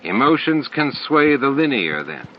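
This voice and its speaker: A man voicing cryptic alien entities in an audiobook, solemn and insistent, with deliberate, stilted phrasing and pauses between words. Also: mid-range voice, sing-song, muffled recording, a little hiss or hum, voice pushed up. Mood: cryptic, probing. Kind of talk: audiobook character dialogue.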